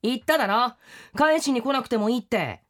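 A woman's voice: a little high, lively intonation, brisk, fairly bright tone. A woman voicing a cocky, breezy rain yokai in the shape of a schoolboy, acted for an audio drama. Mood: nonchalant, teasing.

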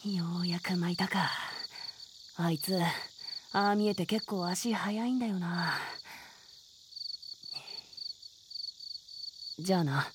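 A woman's voice: lowish voice, moderate pace, background noise, lightly acted. A woman playing a stubborn, proud young male cat samurai in training, speaking brusque boyish Japanese with catlike sounds in a comic radio drama. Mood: subdued. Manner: brusque, excitable rough boyish speech.

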